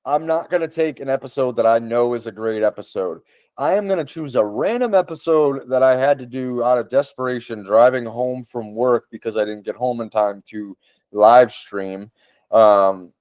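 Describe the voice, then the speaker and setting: A man with a Boston accent talks casually and eagerly, doubling up words whenever he wants to stress a point. His voice sits at an ordinary middle pitch. The sound is close and dry, with no room around it. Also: a little mellow, dry recording. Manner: enthusiastic, repeats words for emphasis. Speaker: podcast host. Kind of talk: casual tutorial talk.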